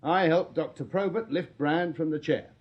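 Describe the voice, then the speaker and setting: Actor as shrewd, high-voiced English police sergeant. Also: male voice, dull audio, lightly acted. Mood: pressing, accusing. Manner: methodical, deliberate, formally polite. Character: shrewd, deferential Victorian male police detective sergeant. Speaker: actor in a radio play. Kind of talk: British radio drama acting.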